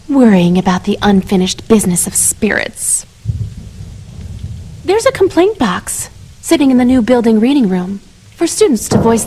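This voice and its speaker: A young woman voicing a moody, blunt high school girl in a video game, with a sarcastic, scolding manner. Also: darkish tone, a little hiss or hum, natural voice, low-quality recording. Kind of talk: English video game voice acting.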